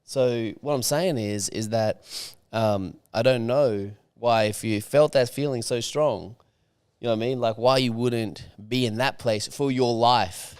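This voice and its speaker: Man, clear smooth voice, dry close sound, blunt and emphatic, podcast host. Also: mid-range voice, unhurried, darkish tone, dry recording. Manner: emphatic, blunt, swears, keeps asking "you know what I mean". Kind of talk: casual conversation.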